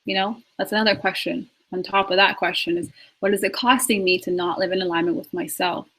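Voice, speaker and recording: A woman's voice: fairly low pitch, fairly even intonation, fairly quick, fairly bright tone, low-quality recording. A woman in her twenties, a life coach, talking earnestly in a live Q&A and repeating words for emphasis. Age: 20s. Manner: earnest, repetitive, emphatic, with laughs and restarts. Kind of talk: live Q&A talk on spirituality.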